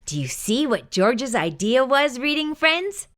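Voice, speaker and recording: A woman hosting a children's storytime, reading a picture book aloud in an expressive, sing-song way. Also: high voice, measured pace.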